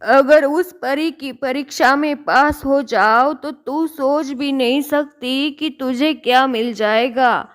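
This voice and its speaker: A woman voicing a kindly old woman in a cartoon fairy tale, soothing and measured. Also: high-pitched. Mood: kind reassuring. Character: kindly old woman guiding a troubled girl.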